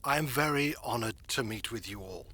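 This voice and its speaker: A man's voice: mid-range voice, expressive, a little fast, bright, forceful tone. A man playing a dignified, courteous church leader chairing a council in an audio drama, formal and polite. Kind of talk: audio roleplay drama acting.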